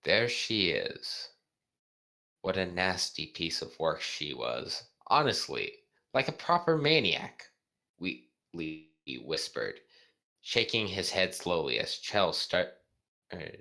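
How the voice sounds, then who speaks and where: A man co-hosting a casual amateur read-aloud of fan fiction, halting and often stumbling over his words. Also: deep voice, big pitch swings, creaky, sharp tone.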